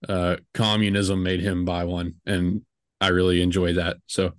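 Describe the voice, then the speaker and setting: A man's voice: low-pitched, flat delivery, normal speed, no echo, clean studio sound. A man in his twenties hosting a podcast, chatting casually and rambling with lots of fillers and jokes. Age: 20s. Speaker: podcast host.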